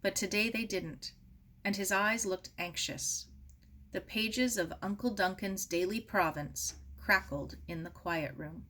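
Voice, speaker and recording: A woman reading a children's novel aloud as expressive scripted narration. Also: medium pitch, a little slow. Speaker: audiobook reader.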